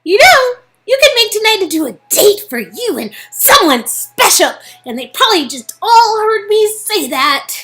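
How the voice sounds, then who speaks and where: A woman's voice: very high voice, sing-song, moderate pace, few pauses, a bit bright, pitched above the performer's own voice, exaggerated voice. A woman voicing a bubbly, teasing female friend in an amateur reading of a story game, with noise behind her. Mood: excited, teasing. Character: bubbly nudging female friend, playful matchmaker.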